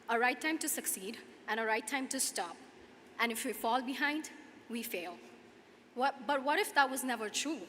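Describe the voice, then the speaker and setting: A woman in her twenties from Nepal, with a Nepali accent, delivering a prepared commencement speech in an earnest, deliberate manner with dramatic pauses. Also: a little high, pushed and bright. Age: 20s. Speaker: student commencement speaker. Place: large hall at a podium, audience ambience. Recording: echoey hall PA, clear background noise.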